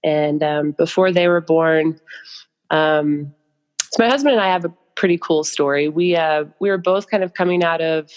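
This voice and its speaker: A woman's voice: low-pitched, moderate pace, big-room sound, muffled recording. A young adult American woman speaking as a podcast guest, telling stories casually in loose, informal phrases with many asides. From United States. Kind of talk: casual conversational storytelling.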